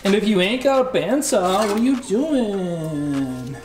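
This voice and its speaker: Man, thirties, Canadian accent, slightly high voice, jokey slang, garage vlog host, music behind. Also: lively intonation, slow, darkish tone. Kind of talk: casual workshop vlog. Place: garage workshop, indoors.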